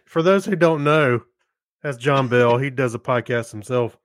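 A man co-hosts a podcast with loose, casual chat. His voice is of average pitch, recorded dry with little room sound. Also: normal speed, dry recording. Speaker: podcast co-host.

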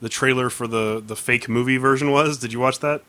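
A man's voice: mid-range voice, steady tone, fairly quick, pushed and bright. A man in his early thirties hosting a casual podcast, easygoing and steering the talk. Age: 30s. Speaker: podcast host.

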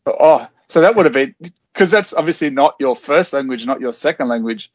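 A man's voice: mid-range voice, animated, a little fast, dry recording. A man hosting a podcast interview, asking short follow-up questions in a casual, friendly way. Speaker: podcast host. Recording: dry, slightly muffled podcast audio.

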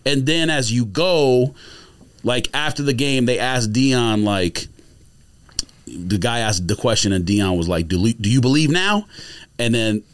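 Animated, argumentative man debating sports. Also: medium pitch, measured pace, smooth. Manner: emphatic, argumentative, slangy. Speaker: sports podcast host. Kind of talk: casual opinionated sports debate.

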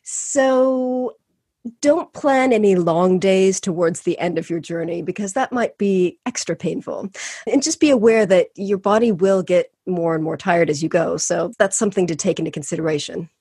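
A woman's voice: fairly low pitch, moderate pace, husky, dry recording, clean studio sound. A woman guest in a casual interview, talking eagerly about long-distance walking and laughing often. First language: English. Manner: warm, enthusiastic, laughs often.

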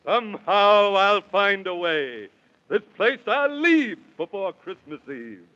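An actor playing a defiant captive Santa Claus who declaims in rhyme in a 1930s children's radio serial. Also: male voice, high voice, animated, takes it slowly, bright, forceful tone, exaggerated voice. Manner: declamatory rhyming. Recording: dull vintage 1930s radio recording.

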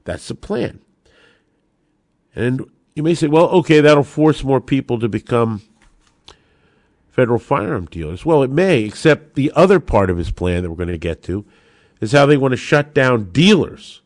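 A man's voice: medium pitch, lively intonation, moderate pace, long pauses, darkish tone, no room sound, clean studio sound. A man hosting a talk podcast, giving opinionated commentary straight to listeners in an emphatic, indignant way, with rhetorical questions and repeated key phrases. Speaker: host of a gun-rights podcast.

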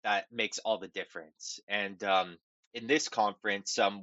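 A stuffy, nasal man talks through market news in a halting podcast chat, full of ums and restarts. His voice is bright and forceful but a little low. The recording is dry and slightly dull. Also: no room sound. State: nasal from a cold. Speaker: podcast co-host. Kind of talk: casual market commentary.

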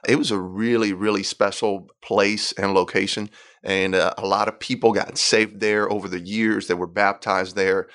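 A man in his thirties with a Puerto Rican accent and a fairly low voice. He is a worship leader talking in an interview, friendly and agreeable, and quick to affirm what he hears. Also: level tone, fairly bright tone. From Puerto Rico. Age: 30s. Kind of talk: conversational interview.

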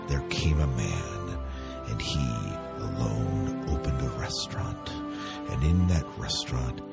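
A man voicing a solemn, portentous movie trailer announcer, slow and dramatic, with heavy pauses. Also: very deep voice, expressive, creaky, over loud music, voice pushed down, full character voice. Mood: grave, epic. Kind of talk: mock-epic movie trailer narration.

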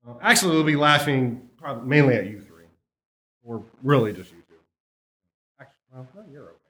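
A man's voice: mid-range voice, a little fast, fairly bright tone. A man bantering in a hammy, teasing way as co-host of a live comedy variety show. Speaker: podcast co-host. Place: live stage at a comic shop. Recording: echoey live venue with music and crowd.

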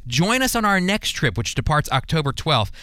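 An American man teaches the Bible as a radio host. His voice is clear and smooth, recorded close and dry in a studio. He speaks conversationally but earnestly, leaning on key words like a preacher. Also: on the high side, big pitch swings, moderate pace, pushed and bright, dry recording. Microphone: close studio microphone.

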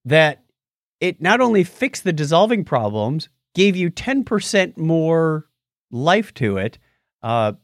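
A man hosts a casual podcast with very animated, swinging intonation. He is playful as he talks through news items. The sound is dry and free of room echo. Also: on the high side, takes it slowly. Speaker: podcast host. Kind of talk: casual news banter on a live-streamed podcast.

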